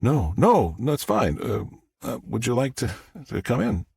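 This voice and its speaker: A man's voice: medium pitch, huge pitch swings, creaky, darkish tone, breathy edge, no echo, clean studio sound, voice pushed up, heavily acted. A man playing a rattled, grieving adult son who stammers and halts through his lines in an audiobook. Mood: nervous, eager. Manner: stammering, halting, flustered. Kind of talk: audiobook character dialogue.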